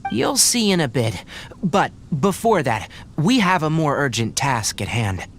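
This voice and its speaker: A woman voicing a calm, serious, thoughtful young scholar in a video game, measured and explanatory. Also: low-pitched, a little hiss or hum, exaggerated voice. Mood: composed, earnest. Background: background music.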